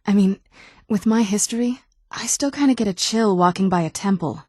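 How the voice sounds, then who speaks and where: A woman's voice: mid-range voice, moderate pace, dark tone, no room sound, natural voice. A woman playing a youthful-seeming ancient vampire noblewoman in a video game, wry and guarded, with a dry conversational delivery. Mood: wry, reflective. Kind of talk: video game character dialogue.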